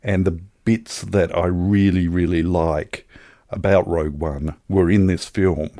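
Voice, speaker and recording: A man co-hosts a casual film podcast in a low-pitched voice. He talks in a wry, wordy way with colourful turns of phrase, and the sound is dry and free of room echo. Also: fairly even intonation, measured pace, dark tone. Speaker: podcast co-host reviewing films. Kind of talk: casual chat.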